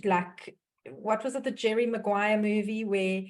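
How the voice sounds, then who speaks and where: A woman's voice: medium pitch, steady tone, a little slow. A woman with a South African accent, from South Africa, hosting a webinar and talking in long, earnest, flowing sentences. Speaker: webinar host, dietitian. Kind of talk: webinar introduction.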